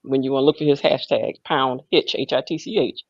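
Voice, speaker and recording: A woman's voice: very deep voice, fairly even intonation, brisk. A playful woman co-hosting a gossip show, teasing in with sing-song emphasis. The sound is dull and lo-fi. It is dry, with no room echo. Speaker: co-host. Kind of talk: casual gossip chat.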